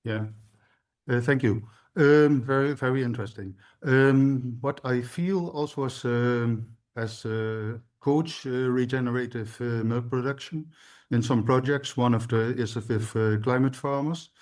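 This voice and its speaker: Dutch man, farm coach on a panel, hesitant non-native English, mid pitch. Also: unhurried, a little mellow. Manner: hesitant, searching for English words. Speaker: regenerative dairy coach. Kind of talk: panel discussion.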